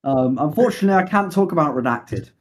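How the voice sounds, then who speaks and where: A man's voice: fairly high pitch, animated, quick pace, slight background noise. Joking young man hosting a webcast. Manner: joking, hesitant with many fillers. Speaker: webcast host. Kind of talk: mock launch-webcast commentary.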